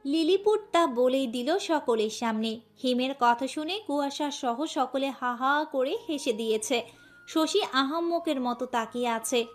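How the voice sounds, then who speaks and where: A woman giving scripted narration of a romantic story. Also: high voice. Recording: music bed under voice.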